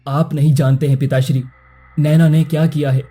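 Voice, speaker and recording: A righteous young prince from a cartoon folk tale, acted by a man. His voice is husky and a touch high for a man. He speaks formally and earnestly, as one who stands up for the wronged. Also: flat delivery, brisk, warm tone. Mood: firm, insistent. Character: righteous young prince defending a falsely accused girl. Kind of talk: Hindi cartoon moral-story dialogue.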